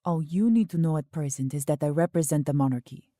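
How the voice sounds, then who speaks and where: Imperious female royal spy played by an actress, cryptic and formal, deep mellow voice, faint music behind. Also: fast talker, no echo, clean studio sound, close to an everyday voice. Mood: cool, cryptic. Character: mysterious, commanding female royal agent, coolly condescending. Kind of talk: steampunk audio drama acting.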